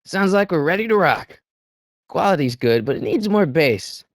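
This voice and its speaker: An excitable teenage boy hero from a video game, with a swooping, animated delivery. He is earnest and trips over his words when flustered. The recording is dry and close, with no room sound. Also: a little high, moderate pace, no room sound. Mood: playful.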